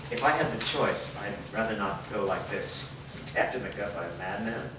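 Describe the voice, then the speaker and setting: A man acts a stoic, wounded companion in a staged drama reading, loyal and resigned. His voice is low and distant, with heavy room echo and clear noise. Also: normal speed, close to an everyday voice. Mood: wry, resigned. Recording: distant, very echoey, noisy room recording.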